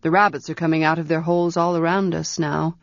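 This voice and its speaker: A woman reading an audiobook, voicing a gentle, patient male wizard with dry, quiet humor. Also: sits low, little pitch movement, quick pace, dry recording. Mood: calm, wry. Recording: dry, slightly dull audiobook recording.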